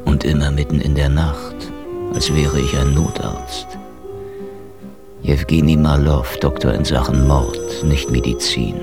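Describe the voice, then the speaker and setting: Very deep, sardonic noir detective. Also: male voice, little pitch movement, measured pace, creaky, over loud music, heavily acted. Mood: wry, weary. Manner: dry, sarcastic, laconic. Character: sardonic, world-weary Soviet-era private detective. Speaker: first-person narrator and lead character. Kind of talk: noir radio drama monologue and dialogue.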